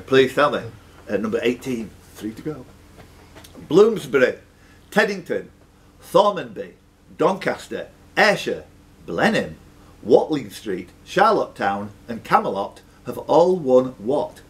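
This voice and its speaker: A man's voice: medium pitch, very animated, unhurried. A man hosting a live quiz, reading out questions and scores and teasing the contestants. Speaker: quiz host and question master.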